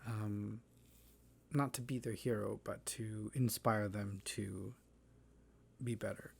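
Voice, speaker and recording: A man speaks in a low, unhurried voice, musing aloud through a personal monologue. He hesitates often, restarting phrases and filling gaps as he thinks. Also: steady tone, vocal fry, a little mellow, slightly rough. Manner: thoughtful, hesitant, many fillers and restarts. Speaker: theatre artist. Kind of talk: reflective spoken monologue. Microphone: voice-over, face not shown.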